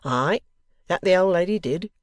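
A woman's voice: on the high side, very animated, moderate pace, mellow, no echo, clean studio sound, pitched above the performer's own voice. A woman voicing a jovial, proud old husband with hearty, folksy speech in an audiobook. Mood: stoutly proud.

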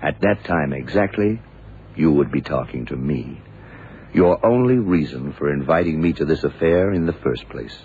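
Shrewd detective, very low voice, hard-boiled, deliberate clipped delivery, vintage radio drama, muffled noisy recording. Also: male voice, expressive, mellow. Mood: stern, accusing. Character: shrewd, hard-boiled blind male detective confronting killers. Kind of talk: 1970s radio drama acting.

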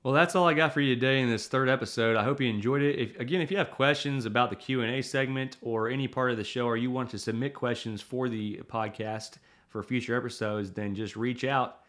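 A man's voice: mid-range voice, fairly even intonation, a little fast, a bit bright. A man, a preacher hosting his podcast, chatting and telling a self-deprecating story with lots of fillers. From Tennessee. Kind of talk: casual podcast chat and storytelling.

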